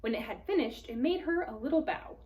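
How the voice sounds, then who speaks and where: A woman reading a storybook aloud for children, expressively, pausing to explain it in simple phrases like a teacher. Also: fairly high pitch, expressive, a little mellow.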